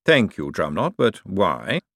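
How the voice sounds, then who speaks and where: An icily patient tyrant, as a male narrator voices him, unhurried and measured, each word placed with silky menace. The low voice carries lively inflection, close and dry like an audiobook booth. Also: no echo, heavily acted. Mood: coolly polite. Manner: slow, measured, icily polite.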